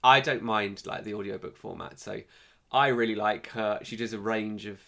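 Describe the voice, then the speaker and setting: Man, bright tense voice, heavy vocal fry, halting, blunt, casual podcast chat. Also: lowish voice, steady tone. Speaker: podcast co-host.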